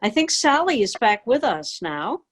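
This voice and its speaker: A woman's voice: on the high side, very animated, normal speed. A woman talking informally as a club member on a video call. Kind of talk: informal meeting talk.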